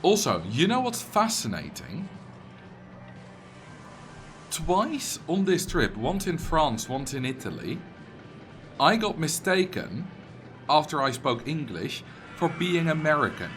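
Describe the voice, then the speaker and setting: A man's voice: fairly high pitch, very animated, slow pace, pauses often, a bit bright. Chatty Dutch-accented man streaming. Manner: chatty, rambling, answers viewers' messages. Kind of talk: casual livestream chat while playing a game. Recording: game music playing behind.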